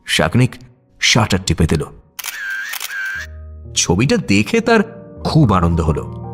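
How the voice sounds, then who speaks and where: A male narrator reads a scripted story expressively, with a mid-range voice. His intonation rises and falls widely, and soft music runs underneath. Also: mid-range voice.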